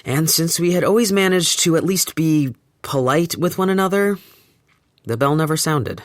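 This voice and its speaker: A man's voice: a little high, normal speed, no echo, clean studio sound, lightly acted. An actor playing a wry man looking back on a breakup, speaking a reflective first-person monologue with hesitant restarts. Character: wry man newly split from his partner. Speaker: narrator of an audio drama.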